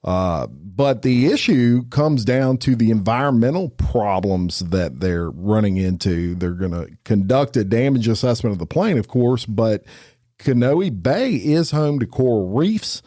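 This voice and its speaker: A man's voice: lowish voice, expressive, measured pace, warm tone, dry recording, studio quality. A man co-hosting a podcast, going over crime news in a folksy, casual way. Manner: folksy, drawling, says "y'all". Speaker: podcast co-host. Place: podcast studio.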